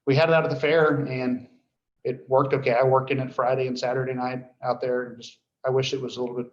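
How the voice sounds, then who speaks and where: A man giving an informal report at a meeting, speaking haltingly and often clearing his throat. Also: medium pitch. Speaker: dispatch director reporting to board. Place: indoor meeting room. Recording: muffled, echoey meeting room recording.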